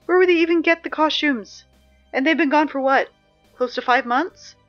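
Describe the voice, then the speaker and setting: A woman's voice: high-pitched, moderate pace, dull audio, faint music behind, close to an everyday voice. A woman playing a chatty young school announcer who keeps getting flustered, in an audio drama. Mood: anxious. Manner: chatty, growing flustered and panicky.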